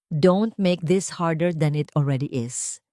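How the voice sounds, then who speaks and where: A woman's voice: low voice, measured pace, warm tone, no room sound, studio quality. A woman voicing a cold, curt husband in an audio story. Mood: cold, dismissive. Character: cold, dismissive husband, adult man.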